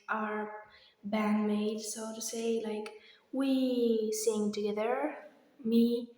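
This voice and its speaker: Heavy room echo and long reverb surround a young woman's slightly hoarse voice. She talks at a slow, hesitant pace, rambling casually like someone giving a personal vlog update. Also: a little high, darkish tone. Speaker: vlogger. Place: echoey indoor room. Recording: very echoey, reverberant empty room.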